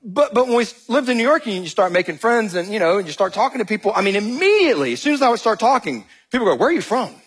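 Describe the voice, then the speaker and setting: A man with a Georgia drawl, preaching with a high-set, slightly husky voice. He tells his stories in an animated, joking way and calls the room "y'all." He sounds like a pastor in his thirties. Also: fast talker, hardly any pauses. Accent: Southern US (Georgia). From Georgia, US South. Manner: animated, jokey storytelling, addresses crowd as y'all. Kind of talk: sermon with storytelling.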